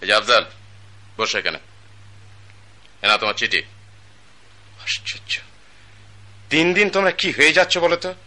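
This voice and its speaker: A man's voice: on the high side, very animated, moderate pace, long pauses, sharp tone, heavily acted. An actor playing a stern, self-righteous wealthy father, older in age, in a radio drama. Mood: scolding. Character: wealthy stern patriarch, pompous older man.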